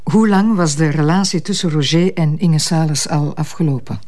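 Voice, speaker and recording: A woman's voice: deep voice, normal speed, darkish tone, lightly acted. A woman with a Flemish accent playing a businesslike, persistent police detective who questions formally in an audio drama. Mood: direct, sceptical. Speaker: actor.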